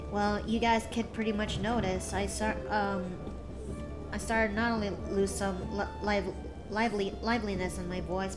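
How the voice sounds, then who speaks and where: A young woman streamer chatting casually, rambling with many restarts and fillers. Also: mid-range voice. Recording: music playing behind.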